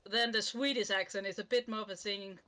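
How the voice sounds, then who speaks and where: A woman's voice: mid-range voice, fairly even intonation, fast, sharp tone. A woman from Denmark with a Danish accent, chatting enthusiastically as a stream guest. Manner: enthusiastic and chatty, swears casually. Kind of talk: casual chat.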